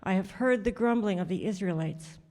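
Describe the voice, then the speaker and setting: A woman from the congregation reading a scripture passage aloud, steady and clear. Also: medium pitch, moderate pace. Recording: church hall reverb.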